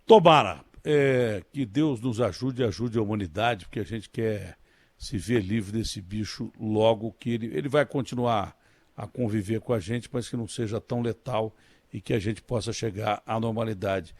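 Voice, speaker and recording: A man's voice: fairly low pitch, moderate pace, dry recording. A man with a Brazilian Portuguese accent hosting a radio interview, speaking in a conversational, emphatic way. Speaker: radio host.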